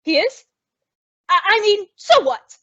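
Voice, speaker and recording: A woman acts the level-headed roommate and narrator of a vintage radio sitcom. She is high-voiced and animated, wry and exasperated. The recording is dull. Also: slow, no echo, exaggerated voice. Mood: exasperated, wavering. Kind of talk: old-time radio comedy acting with narration. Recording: dull, lo-fi, dry audio.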